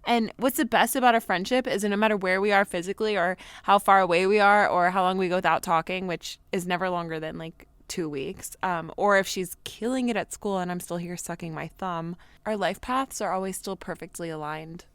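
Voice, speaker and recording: A woman's voice: on the low side, fairly quick, few pauses, clear, resonant tone, no room sound, close to an everyday voice. An actress in her twenties playing an anxious, candid young woman recording a rambling, self-deprecating audio diary in an audio drama. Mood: excited, confiding. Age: 20s. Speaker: lead actor in an audio drama. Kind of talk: confessional audio diary monologue.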